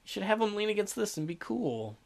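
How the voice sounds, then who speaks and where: A man's voice: high-pitched, big pitch swings, a little fast, vocal fry, darkish tone. Joking young man playing a game. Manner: joking, swears, hesitant fillers. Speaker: let's play gamer. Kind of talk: casual game commentary.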